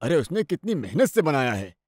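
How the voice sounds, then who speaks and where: A man's voice: a little high, very animated, fairly quick, breathy edge, no echo, studio quality. A man voicing an indulgent, soothing middle-aged father-in-law in a cartoon family story. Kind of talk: animated moral story dialogue.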